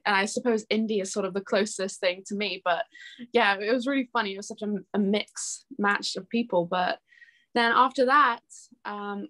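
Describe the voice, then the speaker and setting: A woman's voice: mid-range voice, a bit bright. A young woman talking casually as an interview guest, telling anecdotes in a chatty, giggly way. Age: young adult. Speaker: interview guest, singer-songwriter.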